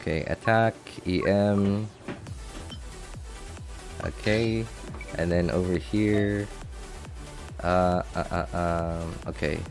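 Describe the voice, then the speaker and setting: Low-voiced man streaming a game. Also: fairly even intonation, slow, pauses often, faint music behind. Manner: chatty, reacts aloud, thinks out loud. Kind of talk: casual live gameplay commentary.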